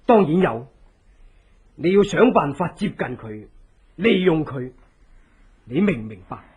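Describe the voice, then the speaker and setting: An actor with a Hong Kong Cantonese accent plays an earnest, loyal, scheming advisor to a fugitive in a theatrical horror radio drama. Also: male voice, a little high, big pitch swings, darkish tone, husky, highs cut off, full character voice. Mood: calculating, instructive. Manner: deliberate, theatrical, persuasive. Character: loyal scheming male resistance ally, earnest, deliberate advisor.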